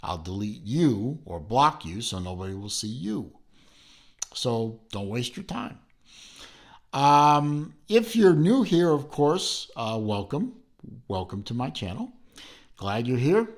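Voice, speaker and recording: A man hosting his own channel, reviewing a watch in a rambling, casual way with lots of fillers and restarts, filming at home from behind the camera. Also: lowish voice.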